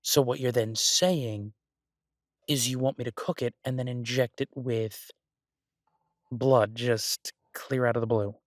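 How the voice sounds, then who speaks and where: A man's voice: medium pitch, fairly even intonation, a little slow, dry recording, studio quality, close to an everyday voice. A man playing an exasperated yet affectionate chef boyfriend in a roleplay audio, ranting incredulously and conversationally to his partner. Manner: ranting, incredulous, emphatic with repeated words. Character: exasperated but affectionate human boyfriend, restaurant chef for supernatural guests.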